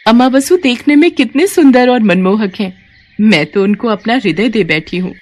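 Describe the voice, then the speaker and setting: A woman's voice: a little high, expressive, normal speed, darkish tone. A woman voicing a young celestial maiden in a devotional cartoon story, earnest, reverent and dramatic. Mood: lovestruck.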